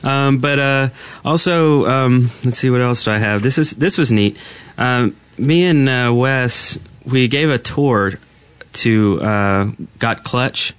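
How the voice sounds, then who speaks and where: A man co-hosting a gaming podcast in casual chat, rambling and halting, easily amused. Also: mid-range voice, clear, resonant tone. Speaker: podcast co-host.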